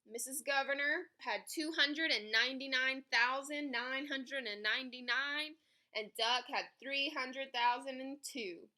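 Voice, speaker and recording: Bright-voiced woman reading a picture book aloud. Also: sits high. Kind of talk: read-aloud storytelling for children.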